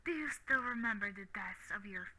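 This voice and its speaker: A woman playing a sadistic, possessive young university bully in a dramatic audio roleplay, menacing, deliberate and manipulative. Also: mid-range voice, animated, bright, forceful tone, exaggerated voice, low-quality recording. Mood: taunting, controlling.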